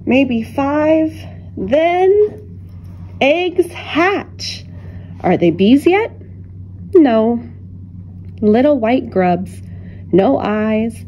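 A woman reading a children's picture book aloud with deliberate, expressive phrasing and dramatic pauses. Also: fairly high pitch, a little hiss or hum. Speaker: children's storybook reader.

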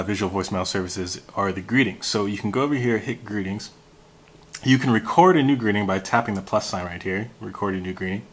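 Low-voiced American man giving a tutorial. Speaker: tutorial host. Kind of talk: casual how-to app walkthrough.

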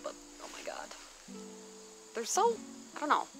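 A woman's voice: sits high, huge pitch swings, a little slow, fairly bright tone, faint music behind. A woman chatting casually and exclaiming as she shows things off in an informal, gushing show-and-tell outdoors. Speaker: vlogger showing thrift finds. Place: outdoors on a patio or deck at a table.

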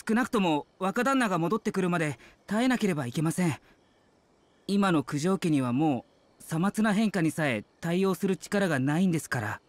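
A man's voice: sits high, lively intonation, a little fast, dry recording. A man voicing a loyal young servant in an anime game, earnest, anxious and deferential. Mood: urging.

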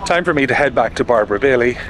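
A man's voice: mid-range voice, animated, rapid-fire, a bit bright, rough recording. A man, chatting wryly and cheerfully as a travel vlogger, filmed on a camera at arm's length outdoors in the rain and inside a campervan. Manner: chatty, wry and upbeat. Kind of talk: casual travel vlog narration. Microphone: handheld camera at arm's length. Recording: music playing underneath.

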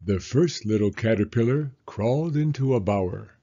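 A man in his sixties reading a children's picture book aloud in a measured storytelling manner. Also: on the low side, animated, mellow, dull audio. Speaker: story reader and host, elementary school teacher.

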